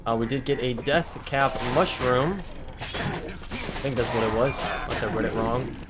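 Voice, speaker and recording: Slightly hoarse man commentating gameplay. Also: mid-range voice, lively intonation, measured pace. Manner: chatty, addresses viewers as guys, frequent fillers. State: slightly hoarse, losing his voice. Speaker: gaming video creator. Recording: game music loud behind voice. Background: game music and combat sounds.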